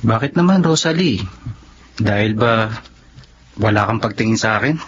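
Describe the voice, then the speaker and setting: Earnest young Filipino suitor, muffled radio drama. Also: male voice, on the low side, animated, normal speed, husky. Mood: pleading, lovesick. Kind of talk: Tagalog radio drama acting. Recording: dull lo-fi radio drama, noisy ambience.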